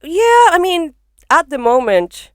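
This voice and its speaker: A woman's voice: sits high, big pitch swings, takes it slowly, no echo. A young woman from Venezuela with a Venezuelan accent, a comedian talking frankly and swearing freely as a podcast guest in a bedroom studio. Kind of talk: casual podcast chat. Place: small home studio in a bedroom. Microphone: podcast microphone.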